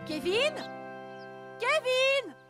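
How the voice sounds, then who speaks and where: A woman's voice: extremely high pitch, very animated, very slow, heavily acted. A woman voicing a busy, insistent woman in a French cartoon dub. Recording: loud music behind the voice.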